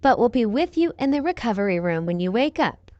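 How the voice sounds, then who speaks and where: A woman's voice: a little high, sing-song, a little fast, darkish tone, no room sound, lo-fi sound. A woman voicing a caring turtle mother in a storybook, comforting and encouraging in a lilting way. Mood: reassuring.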